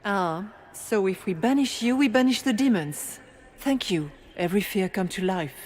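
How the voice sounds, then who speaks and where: An actress playing a wise, composed female spirit guide in a video game, speaking in a measured, earnest, authoritative way. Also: mid-range voice, expressive, a little mellow, natural voice. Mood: calm, knowing. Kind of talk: dramatic video game acting.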